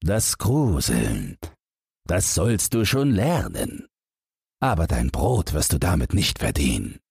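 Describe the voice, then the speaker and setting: A man's voice: a bass voice, huge pitch swings, slow, gravelly, a little mellow, slightly rough, no echo, clean studio sound, heavily acted. A man voicing a stern, worried father, admonishing and resigned, in a fairy-tale audiobook. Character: stern, worried father of two grown sons.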